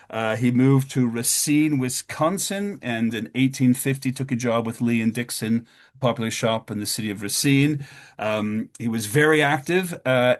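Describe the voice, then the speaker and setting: A man giving a history talk over slides, with many fillers and restarts, his pitch lifting at each new point. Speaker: lecturer.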